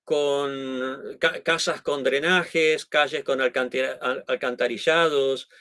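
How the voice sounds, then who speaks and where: A man's voice: mid-range voice, steady tone, slow, sharp tone, clean tone, no room sound, clean studio sound. A middle-aged man from Argentina with an Argentine Spanish accent, explaining things in conversation with many restarts and tag questions. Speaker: guest.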